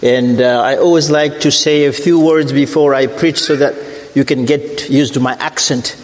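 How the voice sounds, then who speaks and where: A middle-aged man from Africa with a French accent, preaching a sermon as a guest missionary, deliberate and repeating his points. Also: steady tone. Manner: deliberate, repeats phrases, rises in pitch when preaching. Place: church sanctuary. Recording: echoey church hall.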